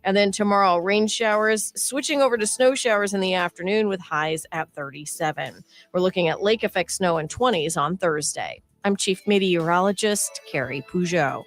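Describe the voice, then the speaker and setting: A woman who is a meteorologist reading a brisk, scripted weather forecast. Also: on the low side, soft background music. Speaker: chief meteorologist.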